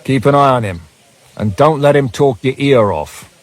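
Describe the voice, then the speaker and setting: Guy playing bossy game gang boss, medium pitch, gruff n sardonic. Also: animated, moderate pace, lightly acted. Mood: commanding. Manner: sardonic, commanding. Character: gruff, bossy male leader of armed band. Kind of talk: video game character acting.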